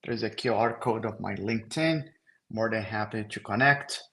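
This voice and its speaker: An older man from Brazil with a Brazilian Portuguese accent, giving a relaxed online technical presentation, with halting phrases and fillers. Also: on the low side. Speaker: trainer.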